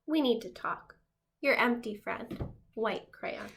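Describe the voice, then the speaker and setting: Lady doing a sad left-out crayon in a storybook, plaintive, high and bright. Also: expressive, takes it slowly, creaky, full character voice. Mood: forlorn.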